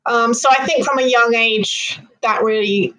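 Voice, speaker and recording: A woman's voice: on the high side, steady tone, unhurried, sharp tone, low-quality recording. British woman telling her own story. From London, United Kingdom. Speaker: interview guest, marine biologist. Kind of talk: conversational personal storytelling.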